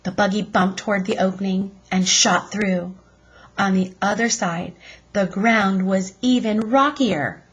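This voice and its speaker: Female read-aloud teacher, unhurried and slightly rough-voiced, telling a chapter book to children with expression. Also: medium pitch. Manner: deliberate, expressive storytelling.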